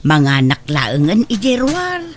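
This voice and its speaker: A woman playing a confused, gentle old homeless woman in a radio drama, wistful and wandering in her speech. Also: medium pitch, wildly swooping pitch, unhurried. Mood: gentle, concerned. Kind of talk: Ilocano radio drama acting.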